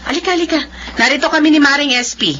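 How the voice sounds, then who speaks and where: A woman's voice: high voice, rapid-fire, pushed and bright, muffled recording, a little hiss or hum. A woman playing a devoted working mother in a radio-style family drama, speaking expressively.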